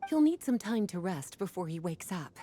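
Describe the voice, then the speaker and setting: Mellow, composed woman in authority. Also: fairly low pitch, sing-song, normal speed. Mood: concerned. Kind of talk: video game voice acting.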